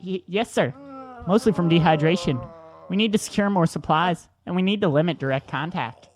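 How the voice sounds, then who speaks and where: A man's voice: sits high, brisk, natural voice. An actor playing a nervous male doctor who stammers anxiously.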